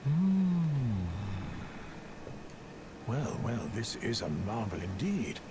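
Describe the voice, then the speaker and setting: An actor playing a cunning, scornful aging sage in a fantasy game, theatrical and musing, dropping at times into hushed phrases. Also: male voice, medium pitch, big pitch swings, slow pace, heavy background noise. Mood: intrigued, musing. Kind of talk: scripted fantasy game dialogue.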